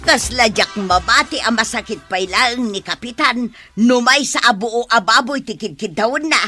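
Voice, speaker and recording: A woman's voice: on the high side, moderate pace, sharp tone, faint music behind. A woman playing a fretful village woman with an Ilocano accent in a comic radio drama. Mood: skeptical. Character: fretful, worried village woman urging others along.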